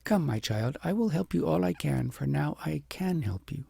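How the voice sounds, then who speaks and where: Man voicing a husky, gentle wise woman. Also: a little high, animated, moderate pace, no room sound, clean studio sound, exaggerated voice. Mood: tender, earnest. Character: gentle, patient, wise older woman of a fairy tale.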